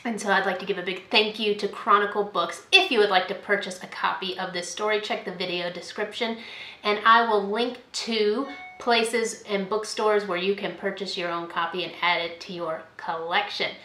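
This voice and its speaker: A young woman, a school librarian, reading a picture book aloud to children in an expressive, sing-song way. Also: mid-range voice, moderate pace, echoey room, slight background noise, rough recording. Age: young adult. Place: indoor room at home, by a window.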